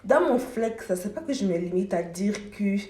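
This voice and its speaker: A woman's voice: mid-range voice, lively intonation, moderate pace, dark tone. A young woman talking to camera in a casual vlog while doing her makeup, telling her story in an emphatic, repetitive way. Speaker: beauty vlogger. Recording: echoey room.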